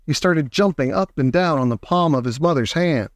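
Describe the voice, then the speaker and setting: A man reading a children's storybook aloud as an expressive audiobook narrator. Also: fairly high pitch, moderate pace, dry recording, clean studio sound.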